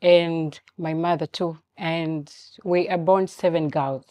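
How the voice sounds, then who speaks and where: A woman from Uganda, a guest on a talk show, speaking in a soft, hesitant way. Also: sits low, fairly even intonation, slow pace, no echo. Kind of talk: casual interview.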